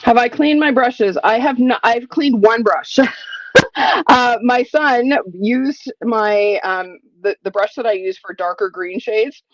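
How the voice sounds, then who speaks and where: A woman's voice: fairly high pitch, slow pace, dry recording. Woman in her forties, slightly sniffly, chatty crafting demo, dry muffled sound. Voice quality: a little sniffly. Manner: chatty, thinking aloud while working. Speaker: crafting demonstrator. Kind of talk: live crafting tutorial. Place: home craft room.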